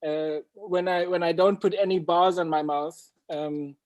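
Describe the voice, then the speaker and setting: A man's voice: fairly high pitch, fairly even intonation, unhurried. A man chatting casually on a video call, speaking reflectively and often repeating words as he finds his thought. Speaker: host and storyteller.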